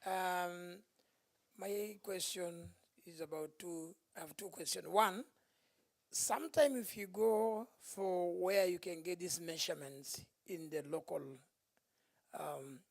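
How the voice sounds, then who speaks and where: A man with a Tanzanian accent asking spontaneous questions from the floor at a conference, halting and repeating himself. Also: high-pitched, vocal fry, no room sound, clean studio sound. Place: indoor conference.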